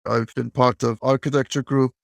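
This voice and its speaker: A middle-aged man from Turkey speaks at a middle pitch with a gravelly, creaky edge. He answers interview questions in a measured, explanatory way. The recording is dry and close, with no room sound. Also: flat delivery, dry recording. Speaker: guest, bank technology executive.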